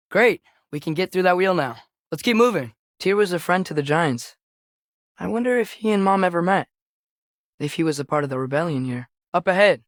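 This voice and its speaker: Young guy playing a curious earnest teen boy in a video game, kinda high voice, dry studio sound. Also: no room sound, close to an everyday voice. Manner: earnest, youthful, conversational. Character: earnest, curious teenage boy, son of a warrior father. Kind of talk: naturalistic video game voice acting.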